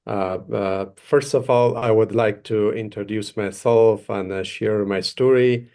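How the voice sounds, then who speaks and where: Male guest speaker, Afghan accent, fairly low pitch, formal and halting delivery of a personal account. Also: steady tone, a little mellow. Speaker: case manager and former interpreter, guest speaker. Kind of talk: prepared personal story at a webinar.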